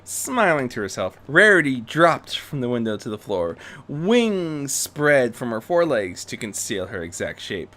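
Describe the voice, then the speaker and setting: Animated, bright-voiced man reading a story. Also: mid-range voice, unhurried, few pauses. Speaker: fan fiction reader and narrator.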